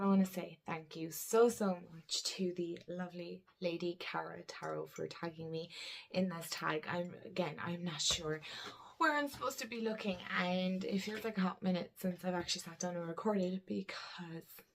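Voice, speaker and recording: A woman chatting casually to camera about her tarot decks, croaky from a head cold, rambling with laughs and soft murmured asides. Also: on the low side, normal speed. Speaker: tarot deck vlogger. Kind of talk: casual vlog chat to camera. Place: indoors at a desk.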